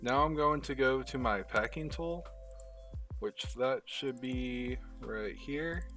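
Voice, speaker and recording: A man narrating a step-by-step software tutorial in a casual, informal way, talking through each step as he does it. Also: mid-range voice, slow, distant mic. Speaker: tutorial host. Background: background music.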